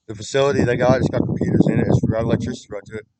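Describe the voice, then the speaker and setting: A man's voice: mid-range voice, fairly even intonation, very fast, very noisy. A man talking in a rambling, repetitive solo monologue, with frequent restarts, recorded on a computer webcam.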